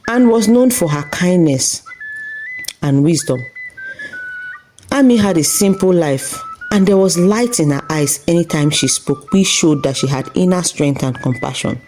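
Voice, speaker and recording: Low-voiced, mellow woman storyteller. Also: poor audio. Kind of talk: scripted folktale narration.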